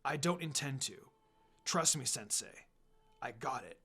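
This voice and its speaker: A man voicing a brash, confident young boy ninja in a story reading, with a cocky, excitable manner. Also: mid-range voice, measured pace, breathy edge, voice pushed down, exaggerated voice. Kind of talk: fan fiction reading with character voices. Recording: music behind the voice.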